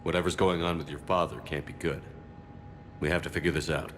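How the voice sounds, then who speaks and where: A man playing a steadfast military commander in a video game, speaking in a measured, grave manner. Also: a bass voice, fairly even intonation, fairly bright tone, a little hiss or hum. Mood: serious, questioning.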